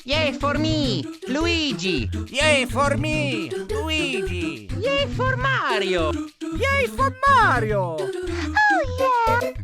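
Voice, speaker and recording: A man voicing gleeful little cartoon video game heroes in exclamatory, drawn-out catchphrases. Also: extremely high pitch, big pitch swings, voice pushed up, exaggerated voice. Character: excitable squeaky male cartoon racing game heroes. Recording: loud music over the voice.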